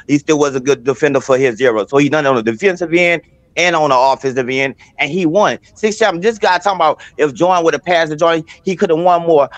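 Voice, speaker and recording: A man's voice: fairly high pitch, no room sound. A man debating heatedly on a video call from his phone, insisting on his point and talking over others. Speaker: call-in panelist.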